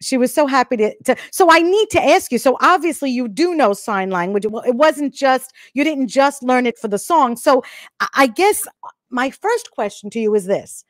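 A woman's voice: high-pitched, lively intonation, normal speed, dry recording, studio quality. A middle-aged woman hosting a live online interview, asking questions in an enthusiastic, friendly way. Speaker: host. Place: studio with logo backdrop. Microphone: microphone close in front.

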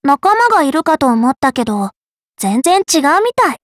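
A woman's voice: sits high, very animated, moderate pace, dry recording, clean studio sound. A woman playing a friendly, curious young valkyrie in an anime game, girlish and informal. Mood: puzzled. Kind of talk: anime mobile game voice acting.

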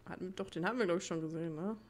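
A woman's voice: fairly low pitch, very fast, slight background noise. A woman giving casual gameplay commentary in a chatty, playful way, muttering asides to her viewers. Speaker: let's play host. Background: video game ambience.

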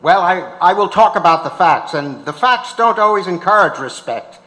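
A man's voice: high voice, lively intonation, normal speed, bright, forceful tone. A man playing a defiant, indignant old activist on trial in a staged reading of a court transcript. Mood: measured defiance. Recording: reverberant auditorium.

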